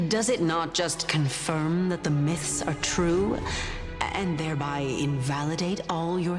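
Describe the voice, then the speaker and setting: An actress voicing an ancient godlike female being in a video game, patient, solemn and gently reproachful. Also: fairly low pitch. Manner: slow, measured, solemn questioning. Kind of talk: dramatic video game voice acting. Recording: long reverb, music underneath.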